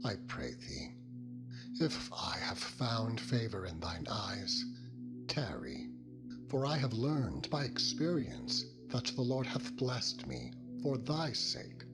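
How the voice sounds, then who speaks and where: A man's voice: medium pitch, lively intonation, slow, pitched above the performer's own voice. A man voicing an older, wheedling master and father-in-law, entreating in formal archaic phrasing in a scripture drama. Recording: loud music over the voice.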